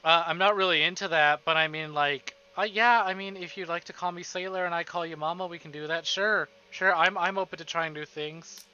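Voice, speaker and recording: A man reading a visual novel aloud on a live stream, with casual, excitable, foul-mouthed reactions. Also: on the high side, a little fast, few pauses, clean tone, dull audio.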